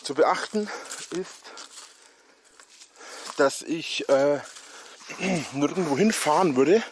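A man narrating a walking vlog outdoors in a forest, out of breath, rambling with many halting fillers, filmed on a handheld camera. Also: a little high, slight background noise. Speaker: vlogger.

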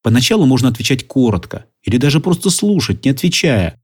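A man teaching a language course, giving calm, methodical instructions and prompting translations. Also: medium pitch, brisk, breathy edge. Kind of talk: instructional explanation.

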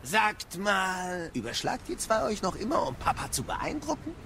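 Casting: ancient wise captive, swooping delivery, creaky edge, cheeky and eloquent, fantasy audio drama. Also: male voice, high-pitched, very animated, normal speed, sharp tone, a little hiss or hum, heavily acted. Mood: sardonic, defiant. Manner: eloquent, sardonic. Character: cheeky, boastful wise male captive, ancient know-it-all.

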